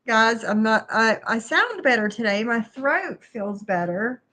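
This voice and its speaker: A stuffy-nosed middle-aged woman hosts a relaxed livestream from home, her voice a touch high. She talks slowly and chattily, greeting viewers one by one and laughing often. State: stuffy nose. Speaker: livestream host. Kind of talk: casual livestream chat. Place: bedroom at home, then kitchen.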